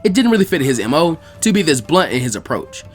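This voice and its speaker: A male narrator reads fan fiction with lively swings in pitch. He keeps a brisk pace, and faint music plays behind him. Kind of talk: animated scripted story narration.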